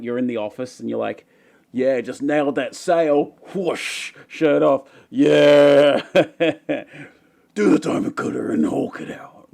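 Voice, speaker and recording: Gravelly, raspy-voiced Australian man. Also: medium pitch, darkish tone. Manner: self-deprecating, joking, rambling with many fillers. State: voice going raspy, tired. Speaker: livestream host answering chat questions. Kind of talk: casual live Q&A chat.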